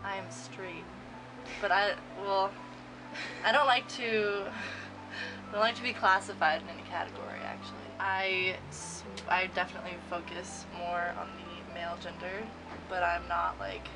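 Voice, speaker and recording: A woman's voice: fairly low pitch, steady tone, normal speed, low-quality recording. A woman in her late teens, a student answering interview questions informally. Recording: music under the voice.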